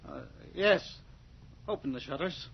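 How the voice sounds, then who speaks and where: Radio drama role: weary elderly countryman, raised pitch, old-fashioned halting delivery, audible noise on the track. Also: vocal fry. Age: older. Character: weary old male farmer, widowed and brooding. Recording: dull, noisy radio drama recording.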